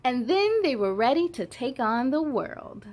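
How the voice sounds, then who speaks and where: A woman's voice: sits high, very animated, measured pace, darkish tone. A woman hosting a children's story time, reading and chatting expressively and speaking to young viewers in an encouraging way. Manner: encouraging, addresses children directly. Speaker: children's storytime host and reader. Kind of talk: expressive read-aloud narration for young children.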